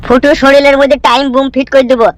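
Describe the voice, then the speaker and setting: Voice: high-pitched, little pitch movement, rapid-fire, dry recording, close to an everyday voice. A performer voicing an eager, scheming, crude henchman in a cartoon comedy. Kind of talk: Bengali cartoon comedy dialogue.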